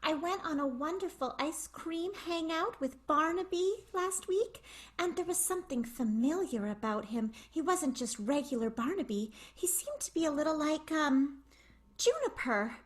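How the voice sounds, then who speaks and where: A woman voicing a fretful, lovesick burlesque star in an improvised radio play, musing softly. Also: high-pitched, expressive, measured pace. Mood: conflicted.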